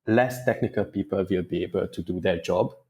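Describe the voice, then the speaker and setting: A man answering interview questions as a guest, in a thoughtful, measured way with small restarts. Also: low-pitched, darkish tone, muffled recording. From Hungary. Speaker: guest data engineer.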